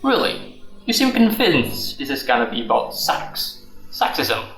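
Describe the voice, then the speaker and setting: A man's voice: mid-range voice, sing-song, measured pace, creaky, a bit bright, heavily acted. A man playing a defensive, flippant middle-aged husband and office worker in a radio drama. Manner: exasperated, joking, evasive. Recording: roomy echo, music underneath.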